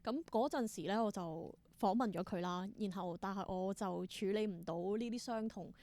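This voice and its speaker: A woman's voice: mid-range voice, normal speed, mellow. A woman with a Hong Kong Cantonese accent speaking as an interview guest, telling stories casually and acting out bits of dialogue. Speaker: interview guest, theatre performer and creator. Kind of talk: casual conversational storytelling.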